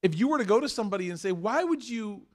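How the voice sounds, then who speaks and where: A man's voice: high voice, lively intonation, quick pace. A young man giving a sermon to a live congregation in a mosque hall, conversational and joking as he acts out everyday dialogue. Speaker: imam.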